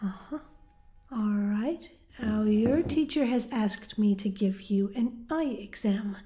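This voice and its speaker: An actress playing a kindly, flustered school nurse in an audio drama, soothing and halting in a folksy way. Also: female voice, mid-range voice, dark tone, natural voice. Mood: chirpy, kindly.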